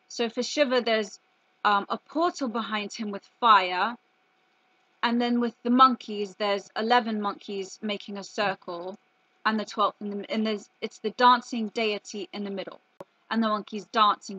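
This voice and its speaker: A woman speaks in a bright, forceful voice at a middling pitch. She delivers her commentary emphatically, posing questions and landing on exclamations. The sound is dry, with very little room around her. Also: no room sound, rough recording. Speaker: video commentator. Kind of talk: conspiracy commentary monologue.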